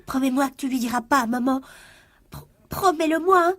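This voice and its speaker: Casting: adult woman for French schoolgirl of fourteen, high voice, anxious, secretive, pleading delivery, radio play. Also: fairly quick, natural voice. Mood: frightened, secretive. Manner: hesitant, repeating words, pleading. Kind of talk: French radio drama acting.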